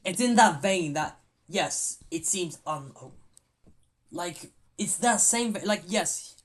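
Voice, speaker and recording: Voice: medium pitch, expressive, slow. A podcast co-host chatting casually about a film, rambling and stopping to search for words aloud, with the odd casual swear.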